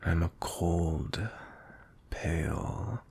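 A man playing a cold, grumpy vampire knight captain in an intimate ASMR roleplay, with a breathy, husky voice and a brooding manner. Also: low-pitched, very slow, a little mellow, exaggerated voice. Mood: guarded, teasing menace. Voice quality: breathy, husky, often near whisper.

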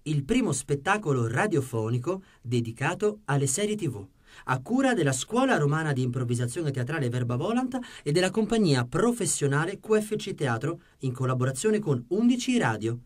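A man with a slightly high voice presents as a radio show host. His delivery is that of a scripted announcer addressing listeners. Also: very animated, fairly quick, darkish tone.

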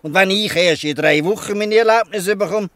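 An actor with a Swiss German accent playing a weary, good-natured old fisherman who explains things haltingly in a dialect audio drama. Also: high-pitched, a bit bright. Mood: explaining, resigned.

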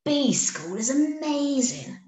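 A hopeful, good-natured boy bear from a picture book, acted out by a woman. He talks slowly and earnestly, with little hesitations and a lively rise and fall. The sound is roomy. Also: sits high, a little hiss or hum, pitched above the performer's own voice, full character voice. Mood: wistful, eager. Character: Norman, friendly hopeful honey-loving male bear.

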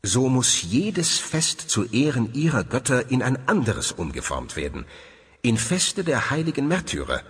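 A man reading documentary narration in a solemn, deliberate way. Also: mid-range voice, very animated, slightly rough, dry recording.

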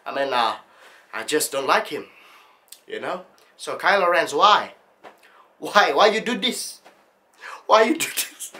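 A young adult man talking casually to camera as a tutorial host, in an animated, jokey, excitable manner. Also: a little high, a little slow, long pauses. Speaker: host of video-effects tutorials. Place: small indoor studio room.

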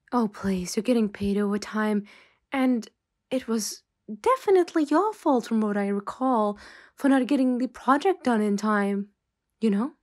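A woman playing a stern, weary boss in an ASMR roleplay, speaking in a measured way with sighs and hesitant pauses. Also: a little high, expressive, darkish tone. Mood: stern, impatient. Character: stern, weary female mafia boss scolding an employee. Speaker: ASMR voice actor.

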